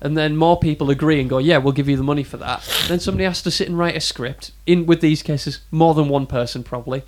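Man with a slightly high voice. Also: fast, a little mellow. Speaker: podcast co-host. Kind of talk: casual film discussion.

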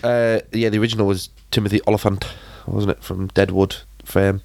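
A man's voice: lowish voice, little pitch movement, fairly quick, vocal fry, darkish tone, dry recording. A British man co-hosting a podcast, chatting casually about films in an informal, rambling and blunt way. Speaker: podcast co-host and film reviewer. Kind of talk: casual film chat.

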